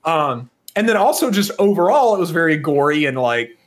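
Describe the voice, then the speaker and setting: A man's voice: a little high, sing-song, normal speed, crowd noise. A man co-hosting a podcast, talking casually and thinking aloud in a rambling, conversational way. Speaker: podcast co-host. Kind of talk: casual discussion of films and games.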